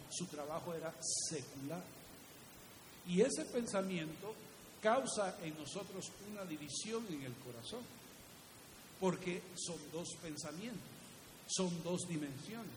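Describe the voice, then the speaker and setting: Male preacher, high-pitched voice, instructive delivery, recorded in a very reverberant hall. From Guatemala. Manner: didactic, with rhetorical questions and repeated key phrases. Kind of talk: teaching sermon. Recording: echoey hall reverb with faint hiss.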